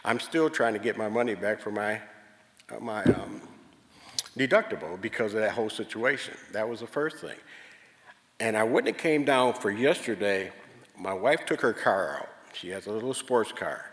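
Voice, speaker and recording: A man's voice: fairly low pitch, expressive, normal speed, fairly bright tone. Plainspoken older man in a hall. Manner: earnest, plainspoken storytelling. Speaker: resident giving public comment. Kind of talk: public comment at a meeting. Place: large public meeting hall. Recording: reverberant hall.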